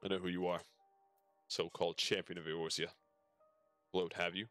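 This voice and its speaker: A man's voice: sits low, level tone, normal speed, a bit bright, faint music behind. A man reading out game dialogue as a bitter, vengeful wounded soldier. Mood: vengeful, pained.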